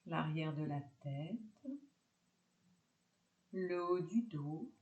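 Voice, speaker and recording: A woman teaching yoga nidra, guiding a relaxation meditation calmly in short, separated phrases. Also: low voice, drawn-out words, roomy sound, highs cut off.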